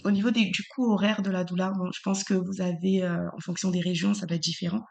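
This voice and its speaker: A woman's voice: lowish voice, flat delivery, quick pace, gravelly, darkish tone. A woman hosting a podcast, asking interview questions with hesitant fillers.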